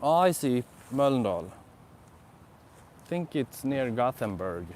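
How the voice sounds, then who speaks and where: A man's voice: mid-range voice, very animated, takes it slowly, a little mellow, slight background noise. A young Swedish man with a Swedish accent, chatting casually on a live stream in a city park, musing and answering viewers' comments. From Sweden. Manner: rambling, reflective, answers chat comments. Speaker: vlogger.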